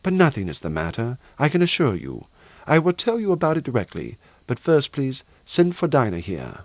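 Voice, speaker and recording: A man voicing a brave, weary, good-humoured young gentleman soldier in an audiobook novel. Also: mid-range voice, huge pitch swings, normal speed, no room sound. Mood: reassuring.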